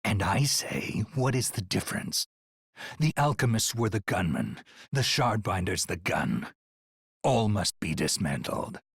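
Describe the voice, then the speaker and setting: A man acting a grim, hostile demon hunter with a gravelly, husky voice and a slow, menacing delivery in a video game cutscene. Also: on the low side, very animated, no room sound, clean studio sound, heavily acted. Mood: scornful, bitter.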